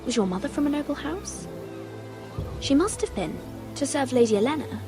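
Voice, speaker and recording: High-voiced, cautious young noblewoman. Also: expressive, moderate pace. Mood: curious, probing. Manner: questioning, wary. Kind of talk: video game voice acting. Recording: loud music behind.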